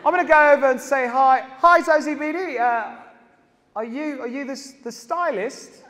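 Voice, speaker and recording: A South African man in his thirties doing stand-up comedy on a large theatre stage with a handheld mic, animated and acting out characters. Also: very high voice, normal speed, a little hiss or hum. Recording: live hall with audience.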